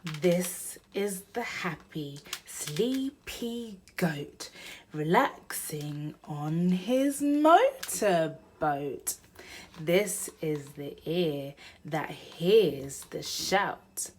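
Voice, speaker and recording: A woman presents a children's read-aloud in a sing-song, expressive voice. She takes her time over the words, and her pitch rises and dips in a lively way as she speaks to young children. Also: extremely slow, a little hiss or hum.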